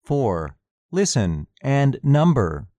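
A man reading section titles and repeating test sentences for a recorded English lesson, slow and deliberate. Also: medium pitch, big pitch swings, warm tone, dry recording, dull audio. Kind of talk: scripted listening exercise.

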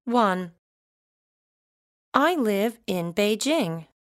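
A woman reading numbered items for a recorded English listening exercise, slowly and carefully enunciated. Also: mid-range voice, lively intonation, fairly bright tone, no echo, clean studio sound. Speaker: recorded listening test reader.

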